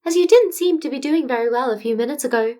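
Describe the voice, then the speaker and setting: A woman voicing a well-meaning male animal school principal, diplomatic and gently insistent, in an audiobook story. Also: sits high, quick pace, voice pushed up, close to an everyday voice. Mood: concerned. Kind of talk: fan fiction audiobook character voice.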